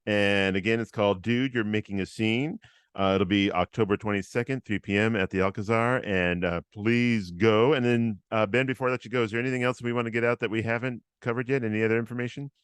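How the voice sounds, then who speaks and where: A man hosting a casual interview podcast, upbeat and encouraging, with a loud voice and many fillers. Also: fairly low pitch, steady tone, fairly quick, clean tone, no echo. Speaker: host.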